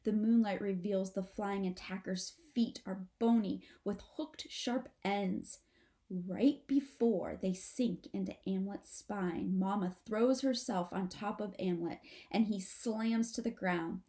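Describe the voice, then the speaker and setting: A woman narrating a children's book aloud in an expressive, measured, dramatic storytelling manner. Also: mid-range voice. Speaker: storybook reader.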